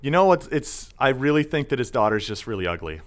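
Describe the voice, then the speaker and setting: A man's voice: mid-range voice, big pitch swings, fast talker. A young man hosting a casual podcast chat, joking and often slipping into silly put-on voices. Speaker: podcast host.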